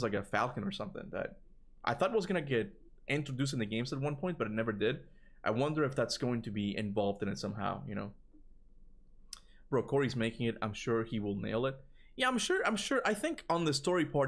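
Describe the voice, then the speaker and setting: A man with a Cuban accent chatting casually on a livestream, rambling and animated, answering chat and swearing now and then. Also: mid-range voice, a little fast. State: tired voice from long talking. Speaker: gaming streamer answering chat.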